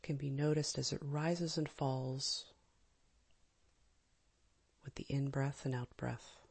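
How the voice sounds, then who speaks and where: A woman leading a guided meditation in a calm, gentle, soft and breathy manner, with long pauses. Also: rumbling low. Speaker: meditation teacher. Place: live small group session.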